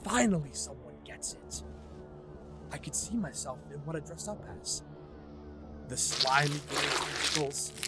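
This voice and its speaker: Man playing a vain tentacled monster, higher-pitched voice. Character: vain partygoer turned many-eyed tentacled monster, relishing it. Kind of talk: dramatic first-person short story reading.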